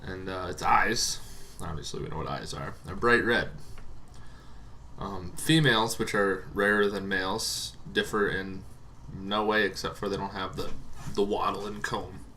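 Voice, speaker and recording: A man's voice: sits low, steady tone, a little slow, sharp tone, a little hiss or hum. A man giving an informal, conversational explainer on tabletop game monsters, wandering into asides as he goes. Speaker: tabletop game lore presenter.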